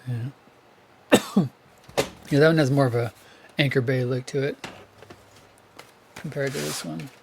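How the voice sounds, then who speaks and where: A man with a hoarse, worn-down voice chats casually about his tape collection, thinking out loud and often correcting himself. His pitch sits in an ordinary middle range, and a faint room hum stays in the background. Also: fairly even intonation, measured pace, long pauses. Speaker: VHS collector channel host.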